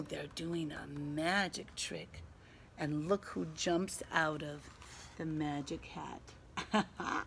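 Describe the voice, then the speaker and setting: A woman reads a picture book to young children as their teacher, taking her time. She is expressive and explains things in simple asides, with a touch of creak in her voice and some noise in the recording. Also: low voice. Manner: animated, gasping, child-directed explanations.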